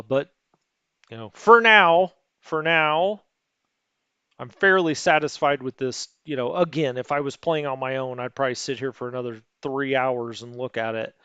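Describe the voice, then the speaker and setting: Chatty man thinking aloud, smooth voice. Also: medium pitch, moderate pace, bright, forceful tone, no room sound, muffled recording. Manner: rambling, self-questioning, hesitant, many fillers. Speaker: let's play host. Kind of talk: thinking aloud while playing a strategy game.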